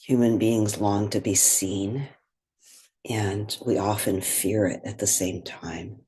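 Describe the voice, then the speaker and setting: A woman leading a guided meditation in gentle, unhurried phrases with pauses. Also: rumbling low, fairly even intonation, husky.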